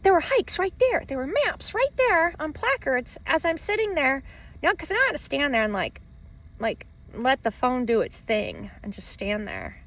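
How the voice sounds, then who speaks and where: High-voiced, creaky, animated woman vlogger. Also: normal speed. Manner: stop-and-start, rambling, repeats phrases for emphasis. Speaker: travel vlogger living in a van. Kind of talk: rambling personal vlog, talking to camera and to a dog. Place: inside a parked camper van by the ocean. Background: faint ocean wash.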